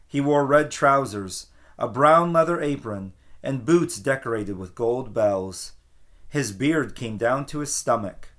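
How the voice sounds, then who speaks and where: A man reading a children's picture book aloud as a teacher, with an expressive storytelling cadence. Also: medium pitch, measured pace.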